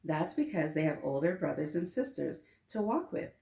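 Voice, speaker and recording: A woman's voice: deep voice, sing-song, moderate pace, gravelly, warm tone, heavily acted. Woman doing a firm mom, stern and scolding, echoey room. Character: firm, patient mother of young children.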